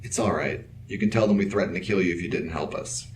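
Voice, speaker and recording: An actor playing a stoic security officer in a science fiction audio drama, speaking in a clipped, matter-of-fact way. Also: male voice, sits low, quick pace, lightly acted. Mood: resolute. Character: stoic, terse male security officer leading a mutiny. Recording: loud background noise over dialogue.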